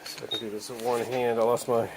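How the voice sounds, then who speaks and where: A man's voice: mid-range voice, little pitch movement, fast talker. A man casually narrating a hands-on repair, thinking aloud in an informal way as he works. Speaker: DIY repair video maker. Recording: clearly noisy home video recording.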